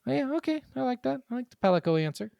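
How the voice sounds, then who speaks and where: A man co-hosting a gaming podcast, talking in a casual, animated storytelling way with easy swearing. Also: very high-pitched, racing, vocal fry, no room sound. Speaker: podcast co-host.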